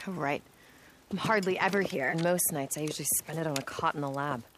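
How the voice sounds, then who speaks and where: A woman's voice: low-pitched, fairly quick, a little hiss or hum. An actress playing a guarded, strong-willed woman scientist in a video game, with a natural, hesitant delivery. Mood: subdued, evasive. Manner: naturalistic, hesitant, dropping to hushed asides. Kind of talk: video game cutscene acting.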